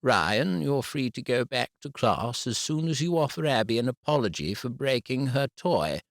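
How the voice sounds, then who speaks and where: A man voicing a woman head teacher who speaks in a measured, formal way. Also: mid-range voice, very animated, dry recording, clean studio sound, voice pushed up. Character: prim woman head teacher, calm and firm.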